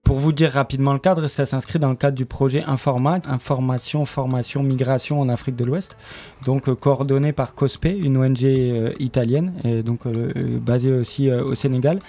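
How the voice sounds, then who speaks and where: A man with a mellow, relaxed voice at a middle pitch, explaining as an interview guest. His delivery is halting and spontaneous. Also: soft background music. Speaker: studio member presenting an audio fiction series, interview guest.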